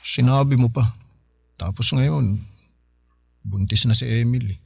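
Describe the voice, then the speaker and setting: A man's voice: mid-range voice, measured pace, creaky, dark tone, husky. An actor playing a broke young man who pleads and defends himself to his older sister. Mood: pleading. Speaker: younger brother.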